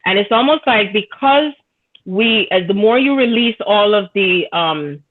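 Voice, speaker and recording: A woman's voice: mid-range voice, expressive, measured pace. A woman from Jamaica, a guest on a spiritual talk show, speaking earnestly and rambling with many fillers and restarts. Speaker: podcast guest, spiritual reader. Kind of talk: casual spiritual conversation. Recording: tinny phone-like line.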